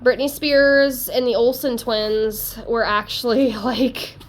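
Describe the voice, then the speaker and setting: A woman's voice: a little high, steady tone, slow pace, a little hiss or hum. A woman with a congested, stuffy voice from a cold, chatting casually and rambling as she reads tarot cards. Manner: rambling, talkative, self-deprecating. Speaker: tarot reader.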